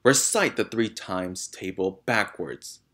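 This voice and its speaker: A man voicing a bullying, tyrannical headmistress in a storybook, barking and shouting with scorn. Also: on the low side, slow pace. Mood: commanding. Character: bullying, thunderous headmistress, a huge tyrannical woman.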